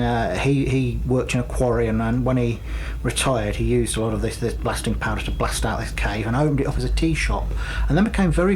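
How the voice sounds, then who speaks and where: A man co-hosting a radio show, recounting a tale informally and explanatorily, often halting and doubling back on his words. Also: medium pitch, a little hiss or hum, rough recording. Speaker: radio co-host. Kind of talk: informal spoken storytelling, explanatory.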